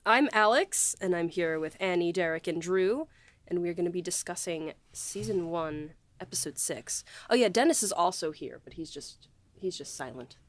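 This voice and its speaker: A woman's voice: lowish voice, expressive, normal speed, dry recording. A woman hosting a podcast in casual, unscripted chat with an informal manner. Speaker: podcast host.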